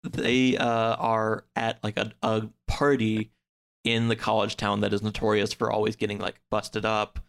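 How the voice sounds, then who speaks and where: A man's voice: lowish voice, monotone, a little slow, creaky, fairly bright tone, clear, resonant tone. A man in a casual chat, retelling a story in a rambling way peppered with "like" and acting out the characters' lines. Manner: acts out characters' lines in quotes. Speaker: podcast guest.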